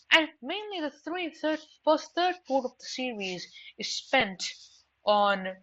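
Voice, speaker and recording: A woman's voice: on the high side, fairly bright tone, highs cut off. Woman giving emphatic anime commentary over music. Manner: emphatic, repeats phrases for emphasis. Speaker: anime reviewer. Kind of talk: informal video essay commentary.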